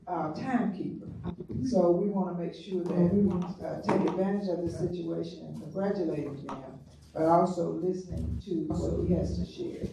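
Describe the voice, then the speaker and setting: A man's voice: high-pitched, fairly even intonation, moderate pace, creaky, noisy background. A man moderating a forum, rambling through the house rules with many uhs. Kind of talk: introducing a speaker at a forum. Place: meeting hall. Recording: echoey hall, far from mic.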